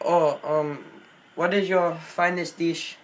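A man's voice: on the high side, fairly even intonation, slow. A young man playing a polite, earnest, apologetic Indian caller who hesitates with many ums. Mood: polite, hesitant. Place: small bedroom. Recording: noisy amateur phone-video recording.

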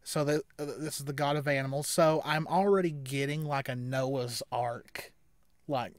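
A man in his thirties giving casual, rambling reaction commentary as a co-host, halting and repeating words as he explains. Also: mid-range voice, fairly even intonation, no echo, clean studio sound. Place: small indoor room at home.

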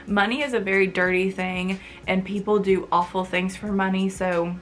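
A woman's voice: mid-range voice, fairly even intonation, normal speed, soft background music. A woman of twenty chatting casually to camera from her college bedroom, frank and full of fillers. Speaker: vlogger.

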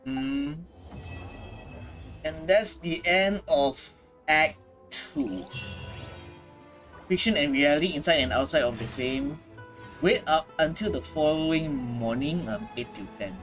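A man's voice: a little high, a bit bright, soft background music. A young man giving casual, offhand reaction commentary at home, recorded at his desk. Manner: slow, offhand remarks. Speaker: gaming reaction streamer.

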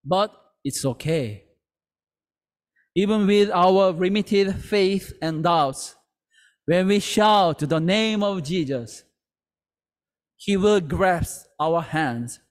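Slow earnest pastor in a reverberant hall. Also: male voice, sits high. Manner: slow, earnest, with halting restarts. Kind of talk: sermon. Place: church sanctuary.

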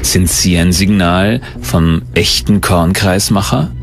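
A man's voice: low-pitched, slow, creaky, music in the background. A man reading scripted documentary narration as the narrator.

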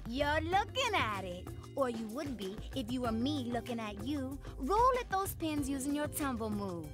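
A woman's voice: high voice, very animated, normal speed, loud music behind the voice. A woman playing a perky, vain hippo in a video game. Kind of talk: video game character acting.

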